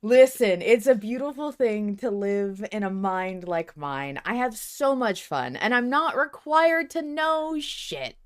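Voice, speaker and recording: A woman's voice: fairly high pitch, expressive, slow pace, hardly any pauses, clean tone. A woman hosting a podcast in casual chat, playful and happy to poke fun at herself. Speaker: podcast host.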